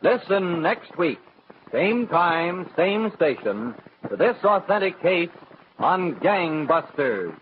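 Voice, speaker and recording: An American man announcing on 1940s radio in scripted narration, with emphatic, deliberate phrasing. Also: high voice, sing-song. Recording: scratchy 1940s radio broadcast, narrow phone-like band.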